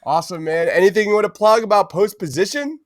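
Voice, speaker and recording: A man's voice: high-pitched, lively intonation, fast talker, no echo, studio quality. A man hosting a casual pop-culture podcast, talking informally and enthusiastically with plenty of slang. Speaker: podcast host.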